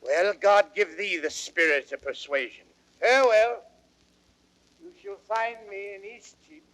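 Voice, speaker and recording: A high-pitched, theatrical fat old rogue. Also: male voice, a bit bright, a little hiss or hum, low-quality recording. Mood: grumbling. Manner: theatrical, drawn-out exclamations with chuckles. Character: fat old rogue knight, boozy, jovial thief. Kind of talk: old radio-style Shakespearean acting.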